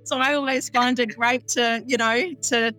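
Chatty high-voiced woman with a cackle. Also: fairly even intonation, normal speed, pushed and bright, soft background music. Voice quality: high-pitched, smooth voice. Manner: chatty and unfiltered, cackling laugh. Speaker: astrologer guest. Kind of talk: casual interview chat.

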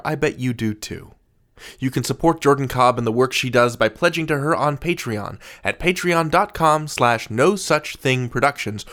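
A man's voice: mid-range voice, a bit bright. A man hosting an interview podcast in a conversational, presenterly style. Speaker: podcast host. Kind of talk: friendly interview chat and scripted outro.

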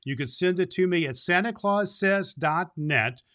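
A man's voice: high voice, animated, measured pace, pushed and bright. A man in the role of Santa Claus, hosting and telling stories to children with affectionate, emphatic repeats. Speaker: host playing Santa Claus, reading to children. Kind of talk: children's storytelling and show hosting.

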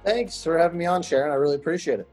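A man in his thirties, an attorney giving a podcast interview, answering in a measured way with fillers and restarts. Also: fairly high pitch, a little mellow, faint music behind.

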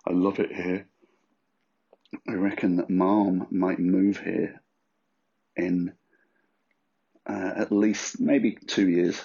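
A man with a husky, rough voice rambles through his solo podcast, crude and self-mocking. His voice sits very low with a heavy gravelly fry. The recording sounds dull and lo-fi. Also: dark tone. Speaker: podcast host.

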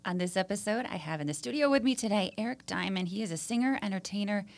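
A woman hosting a podcast in a studio, chatty and eager, with quick little replies. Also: medium pitch, lively intonation, gravelly, soft background music. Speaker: podcast host. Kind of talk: casual interview chat.

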